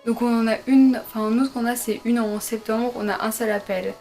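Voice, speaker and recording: A woman's voice: on the high side, steady tone, fairly quick, warm tone, soft background music. A young woman, a medical student, answering viewer questions in a casual sit-down vlog from her bedroom, hesitant with many fillers and self-corrections. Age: young adult. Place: her bedroom at her parents' home.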